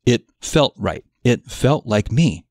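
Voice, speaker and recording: A man reading a scripted self-development article aloud in a measured, articulate, explanatory manner. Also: medium pitch, very animated, darkish tone, no room sound, clean studio sound. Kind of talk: scripted narration of an audio article.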